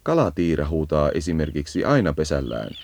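A man's voice: very deep voice, sing-song, creaky, darkish tone. A man narrating a scripted educational birdsong guide, leading the listener as if on a field walk.

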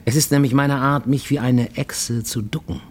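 A man's voice: medium pitch, fairly even intonation, normal speed, natural voice. An actor playing a wary, greying Japanese man in hiding abroad, confiding in a formal, insistent way in a radio play monologue. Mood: wary, secretive. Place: studio radio production.

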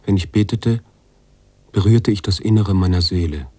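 An actor playing a brooding male writer who narrates his own story in a measured radio-play monologue. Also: lowish voice, a little mellow, breathy edge, dry recording. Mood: introspective.

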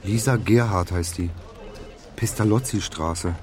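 A man's voice: on the low side, measured pace, over music, lightly acted. An actor playing an awkward, eager young male trainee, hesitant, chatty and over-polite, in a naturalistic radio crime drama. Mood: uneasy.

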